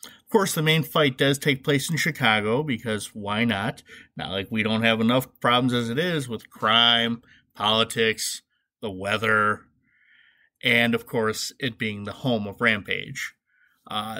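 A man's voice: mid-range voice, bright, forceful tone. A man hosting a podcast solo, giving casual, wry commentary with an informal, joking manner. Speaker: podcast host.

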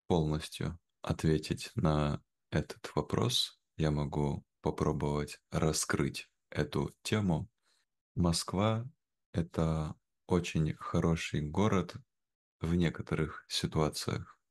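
A young man speaking in a careful, measured way in a simplified conversation for learners, on a video call from home. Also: rumbling low, fairly even intonation, a little mellow. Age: young adult. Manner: measured, careful, deliberate phrasing. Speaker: guest, language teacher. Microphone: video call, wearing headphones.

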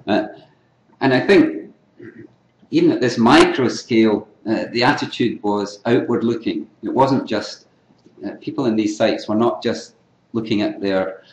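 An older Scottish man giving an unscripted academic lecture at a conference, often clearing his throat and restarting phrases. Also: lowish voice, unhurried. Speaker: keynote lecturer in archaeology. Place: lecture hall. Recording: echoey lecture hall.

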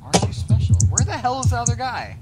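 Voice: medium pitch, big pitch swings, quick pace, warm tone. A teenager joking around on voice chat while playing a block-building game, rambling and trading silly one-liners. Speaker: gamer. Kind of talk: casual voice-chat banter while gaming.

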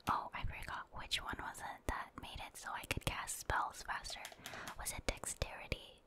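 Female gaming ASMR host: fully whispered, breathy delivery, casual rambling commentary as she plays. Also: normal speed. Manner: casual, rambling, thinking aloud.

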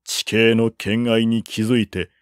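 Male narrator, historical novel reading, unhurried pace, dry studio-like sound. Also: on the low side, level tone, smooth, dry recording. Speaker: audiobook narrator. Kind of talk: dramatic literary narration of a historical war novel.

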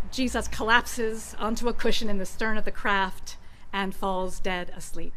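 Expressive middle-aged woman preaching outdoors. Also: a little high, slight background noise. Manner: expressive, gesturing preacher with dramatic pauses. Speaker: pastor. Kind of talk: sermon. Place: outdoor church garden. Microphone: stand mic at a lectern.

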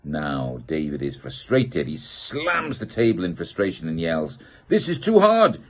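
A middle-aged man from the United Kingdom reading a children's picture book aloud, with a playful, expressive storytelling manner, filmed outdoors in a garden gazebo by a camera at desk distance. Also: low-pitched, a little slow.

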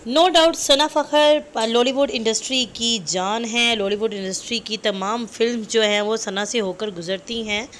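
A woman's voice: mid-range voice, fairly bright tone, a little hiss or hum. A woman with a Pakistani Urdu accent narrating celebrity entertainment news in a chatty voice-over, repeating herself and slipping into English phrases. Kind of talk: voice-over commentary on celebrity clips.

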